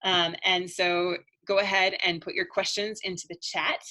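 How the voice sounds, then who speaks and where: A woman's voice: fairly low pitch, little pitch movement, moderate pace, pushed and bright. Upbeat woman coach, losing her voice. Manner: upbeat, chatty, laughs between points. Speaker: marriage coach. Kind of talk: live online webinar pitch.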